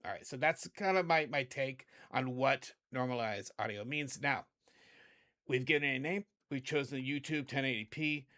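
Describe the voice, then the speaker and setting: A middle-aged man with a hoarse voice hosting a casual video editing tutorial, chatty and rambling, often correcting himself. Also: medium pitch, normal speed, bright, forceful tone. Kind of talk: casual screen-recorded tutorial.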